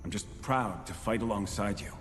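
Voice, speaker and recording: A man's voice: on the low side, moderate pace, natural voice. An actor playing an eager, loyal junior knight in a fantasy video game, earnest and deferential to his commander. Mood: earnest, proud. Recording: long reverb, faint music behind.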